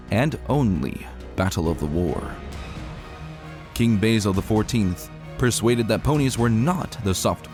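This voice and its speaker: A man playing an earnest, self-deprecating wounded soldier prince, a stallion narrating his own dramatic story. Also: lowish voice.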